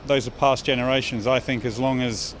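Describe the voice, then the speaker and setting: A man answers thoughtfully, circling back on his words with frequent fillers. Loud traffic noise fills the street around him. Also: medium pitch, steady tone, a little fast. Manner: thoughtful, repeating himself, fillers. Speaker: passer-by. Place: outdoors on a city street.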